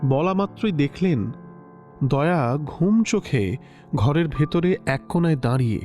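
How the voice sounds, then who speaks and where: Expressive male storyteller, lively delivery. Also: on the high side. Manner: measured, expressive literary narration. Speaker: narrator. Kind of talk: scripted storytelling narration. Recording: slightly dull lo-fi recording with soft music.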